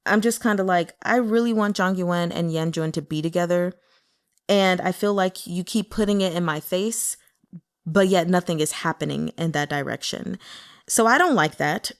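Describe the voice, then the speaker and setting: A woman's voice: fairly low pitch, normal speed. A woman chatting casually and candidly about a novel as a book reviewer, in a conversational, mildly exasperated way. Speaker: book reader and reviewer with an audience. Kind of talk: casual chat commentary on a novel.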